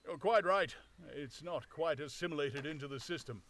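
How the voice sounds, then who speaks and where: A man voicing an invisible man who speaks slowly and deliberately, pressing each point. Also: fairly high pitch, a bit bright, close to an everyday voice. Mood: insistent. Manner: slow, deliberate, emphatic. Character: calm, insistent invisible man, measured.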